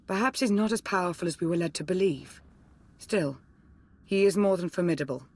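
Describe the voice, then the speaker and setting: A woman's voice: on the low side, brisk, a little mellow, husky. Composed, calculating woman advisor. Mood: composed resolve. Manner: composed, articulate, formal. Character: cool, pragmatic female Sith advisor, resolute and calculating. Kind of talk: video game cutscene acting.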